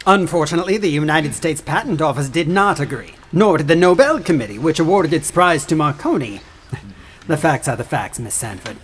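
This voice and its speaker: An actor playing a stern, condescending government attorney in a courtroom audio drama, formal and dismissive. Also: male voice, fairly high pitch, lively intonation, normal speed, breathy edge.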